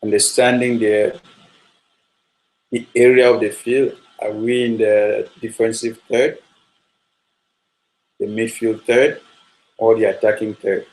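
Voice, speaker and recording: Low, flat-voiced coach. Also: male voice, low-quality recording. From Nigeria. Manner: measured, repetitive, with frequent fillers. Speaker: football coach. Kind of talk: spoken coaching talk.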